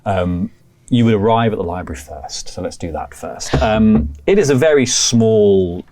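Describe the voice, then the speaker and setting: A man's voice: fairly low pitch, lively intonation, normal speed, darkish tone, no room sound, faint music behind. Man narrating as game master. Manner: meandering descriptive narration with fillers.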